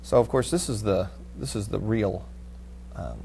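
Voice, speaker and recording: A middle-aged man hosting a studio panel talk, reading out and commenting on news in a halting way. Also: lowish voice, creaky, warm tone. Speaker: TV panel host. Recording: audible background noise with hum.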